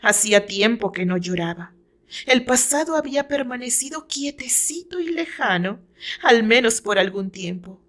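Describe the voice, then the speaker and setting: A woman with a Mexican accent reading her own novel as a dramatic, deliberate, theatrical story narration. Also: a little high, lively intonation, fairly bright tone.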